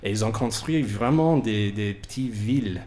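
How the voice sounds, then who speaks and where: A man's voice: lowish voice, measured pace, warm tone. A man hosting a radio interview in French as a second language, searching for his words and often repeating himself. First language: not French, speaks it as a second language. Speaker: radio host interviewing guests. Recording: music playing behind the voice. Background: music.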